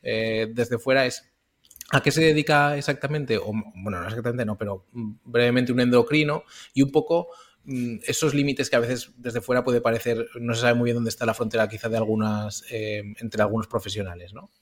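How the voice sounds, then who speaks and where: A man's voice: medium pitch, fairly even intonation, a little fast. A man co-hosting a podcast, asking an interview guest questions in a friendly, loose way with fillers. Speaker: podcast co-host.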